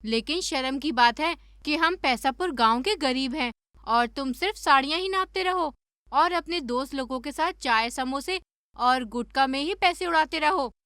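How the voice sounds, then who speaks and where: A nagging cartoon village wife, acted by a woman, high and forceful in tone. She scolds with sharp sarcasm, recorded close and dry. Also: normal speed, no echo. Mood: mocking, scolding. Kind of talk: Hindi cartoon story dubbing.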